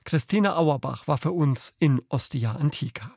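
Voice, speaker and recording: A man's voice: a little high, moderate pace, creaky, darkish tone. A man presenting a radio programme, reading scripted introductions to features.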